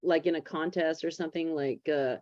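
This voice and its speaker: A woman's voice: sits low, steady tone, brisk, darkish tone. A woman hosting a club meeting on a video call, chatty and playful. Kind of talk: casual chat. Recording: dull video call audio.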